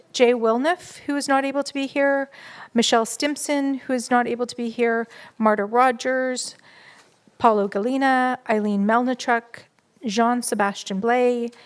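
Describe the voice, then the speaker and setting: A woman moderating a public candidates forum, reading out names and explaining the format in a steady, organized way. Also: on the high side. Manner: steady, organized announcing with fillers.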